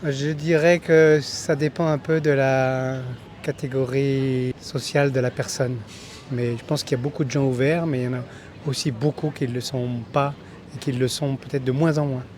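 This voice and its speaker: A man from France gives a calm, thoughtful answer to a street interviewer at a mid pitch. Faint city noise hums behind him outdoors. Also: moderate pace. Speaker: passer-by.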